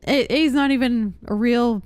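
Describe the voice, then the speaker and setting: A woman's voice: on the high side, moderate pace, darkish tone, no room sound. A young woman giving casual live gameplay commentary as a streamer, rambling and thinking aloud. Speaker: game streamer. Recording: faint game music behind voice. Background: game music.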